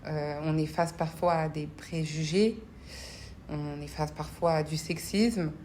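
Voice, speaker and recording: A woman's voice: low voice, fairly even intonation, a little slow, dark tone, slight background noise. A woman giving reflective interview answers in an earnest, searching manner, often repeating words. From grew up between French and Congolese cultures. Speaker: interview guest, parliamentary assistant and association founder.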